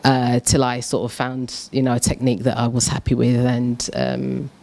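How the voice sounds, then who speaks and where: A woman's voice: extremely low pitch, flat delivery, normal speed, vocal fry, darkish tone. A woman artist speaking on a panel, hesitant, searching for words as she talks. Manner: hesitant, many fillers and restarts. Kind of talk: casual panel talk. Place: gallery discussion event.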